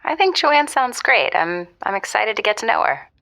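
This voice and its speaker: A woman's voice: mid-range voice, sing-song, moderate pace, vocal fry, bright, forceful tone, exaggerated voice. An actress playing a candid, skeptical woman caller in a naturalistic audio drama, conversational and probing. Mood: gently probing. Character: candid, skeptical woman caller gently challenging her date.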